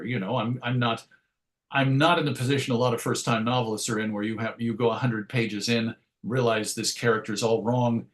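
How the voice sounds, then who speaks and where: A middle-aged man talking reflectively in an interview, articulate and measured, with a modest, self-deprecating way of putting things. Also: medium pitch. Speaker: interview guest, writer and radio show host.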